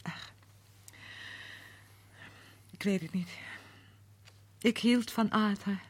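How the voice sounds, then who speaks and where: A woman's voice: medium pitch, drawn-out words. An actress playing a grieving, hurt woman who speaks hesitantly in a radio drama. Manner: hesitant, pained. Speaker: Betty, widow of Arthur.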